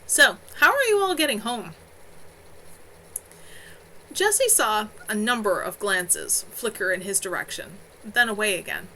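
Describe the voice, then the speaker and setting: Animated woman reading a story aloud. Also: mid-range voice, unhurried, long pauses, a little hiss or hum, low-quality recording. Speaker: author reading own novel. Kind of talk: expressive audiobook-style story narration. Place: at home on a live stream.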